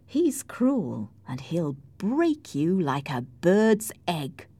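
Woman doing wilful young lady for an audiobook, excitable, swoopy pitch, close dry sound. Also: very slow, husky, dry recording, heavily acted. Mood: scornful warning. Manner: excitable, emphatic. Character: headstrong, passionate young married gentlewoman, mocking and wilful. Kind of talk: audiobook character voice.

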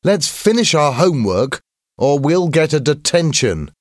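Storybook schoolboy, cautious and anxious, pitched high, urgent protests; played by an adult man. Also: big pitch swings, unhurried, no room sound, studio quality. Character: James, anxious cautious schoolboy, sensible and reluctant.

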